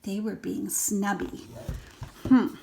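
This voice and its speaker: An older woman, a grandmother, reading a children's story aloud and adding gentle, chatty asides for a small child. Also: medium pitch, flat delivery, takes it slowly, creaky, slight background noise.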